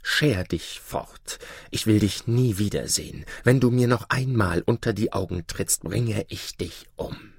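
A man's voice: lowish voice, a little slow, gravelly, slightly rough, no echo, full character voice. A man voicing a wicked sorceress from a fairy tale, dramatic, devoted and imploring. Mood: furious. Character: wicked sorceress, pleading and adoring toward her master.

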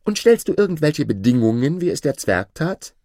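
A man's voice: lowish voice, huge pitch swings, unhurried, darkish tone, slightly rough, dry recording, clean studio sound, exaggerated voice. A man voicing an impulsive, questioning boy hero in a fairy tale, with an eager manner. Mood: bold, questioning.

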